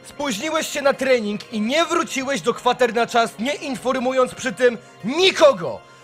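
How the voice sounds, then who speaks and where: Man playing a high, barking elf guard officer. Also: expressive, measured pace, soft background music, heavily acted. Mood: stern, shouting. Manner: shouted, clipped military delivery. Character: stern, barking male elf guard lieutenant. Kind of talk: Polish fantasy audio drama.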